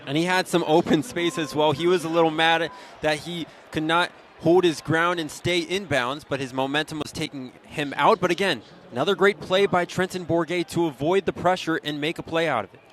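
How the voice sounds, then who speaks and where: Higher-pitched male football color commentator. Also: brisk, few pauses, a bit bright, slight background noise. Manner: conversational, explanatory, opinionated. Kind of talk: live sports analysis.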